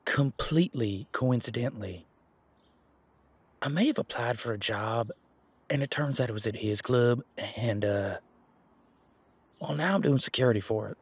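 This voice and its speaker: Man voicing a male werewolf security guard, husky, mid-pitched, dry close sound, colloquial, responsible, easygoing, casual roleplay audio. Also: no room sound. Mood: sheepish, defensive. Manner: colloquial, halting, matter-of-fact.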